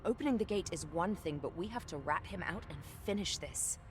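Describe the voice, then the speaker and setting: A woman's voice: on the low side, lively intonation, brisk, noisy background, close to an everyday voice. An actress playing a stern, bitter warrior woman in a fantasy video game, speaking tersely and solemnly. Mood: resolute. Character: stern, bitter, determined warrior woman, former Republican soldier. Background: game music.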